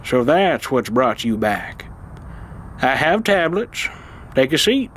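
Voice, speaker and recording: A man playing an irascible, desperate old uncle in an audio drama, urgent and halting as he insists on his truth. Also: mid-range voice, very animated, a bit bright, slight background noise. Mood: insistent. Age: older. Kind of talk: dramatized audio fiction acting.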